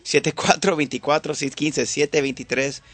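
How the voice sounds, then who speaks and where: Man, from Venezuela, radio host, slightly high voice, joking, upbeat. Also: fairly even intonation, a bit bright, no room sound. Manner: jokey, upbeat, reads ads quickly. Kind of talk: casual morning radio chat.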